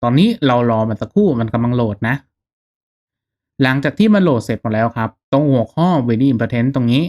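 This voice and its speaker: Man, medium pitch, informal tutorial voice-over, step-by-step instruction, casual delivery. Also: animated, a little fast, darkish tone. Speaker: tutorial host.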